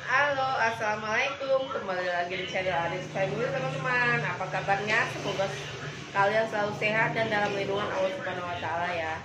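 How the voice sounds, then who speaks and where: A woman's voice: medium pitch, a little fast. A woman in her thirties with a nasal, stuffed-up voice from a cold, hosting a casual home cooking vlog and chatting to her viewers as friends. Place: home kitchen. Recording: noisy home kitchen recording.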